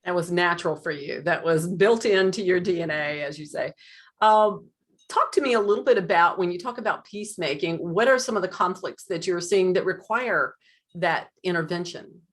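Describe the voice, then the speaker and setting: Middle-aged woman host on a webcam call, low voice, friendly and chatty. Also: moderate pace. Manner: friendly, chatty, builds long lead-in questions. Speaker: podcast host. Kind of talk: video-call interview. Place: home room with a light blue wall. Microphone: video call webcam.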